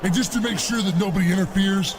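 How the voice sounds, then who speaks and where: A brash wrestler yells taunts across the ring, voiced by a man, in a fairly high voice. He sounds a bit distant, with clear noise under the game audio. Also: quick pace, lightly acted. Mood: taunting. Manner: taunting, shouting. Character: brash male wrestler calling out a rival.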